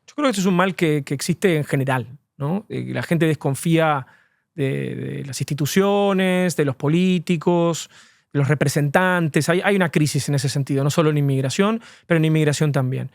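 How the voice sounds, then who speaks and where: A man's voice: a little high, normal speed, creaky, no room sound, studio quality. A man, an immigration lawyer, answering interview questions earnestly and at length, with frequent fillers.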